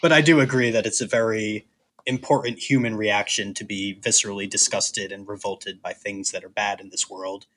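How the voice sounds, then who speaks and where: A man's voice: lowish voice, steady tone, moderate pace, a bit bright. A man co-hosting a podcast in casual chat, opinionated and emphatic in how he puts things. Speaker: podcast co-host.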